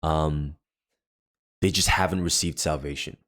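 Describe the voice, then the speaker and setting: A young man with a deep voice, a podcast co-host telling a story in casual chat with many fillers and restarts. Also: steady tone, a little slow, a bit bright. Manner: rambling, filler-heavy storytelling.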